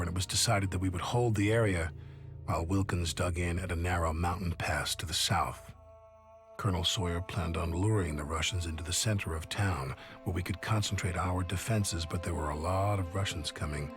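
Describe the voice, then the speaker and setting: An actor playing a soldier who recounts a war from memory in somber, measured, scripted narration. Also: male voice, sits low, natural voice.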